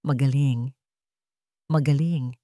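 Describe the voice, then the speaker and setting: A woman's voice: very deep voice, fairly even intonation, takes it slowly, dark tone, no echo, clean studio sound, pitched below the performer's own voice. Aloof rich patriarch, voiced by a woman. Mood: interested. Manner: curt, aloof. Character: stern, aloof wealthy older father.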